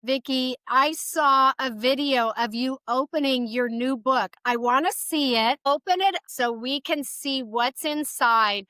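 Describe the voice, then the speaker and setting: A woman's voice: high voice, measured pace, few pauses, pushed and bright, smooth, no echo. A woman hosting a casual interview podcast in an effusive, encouraging way. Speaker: podcast host.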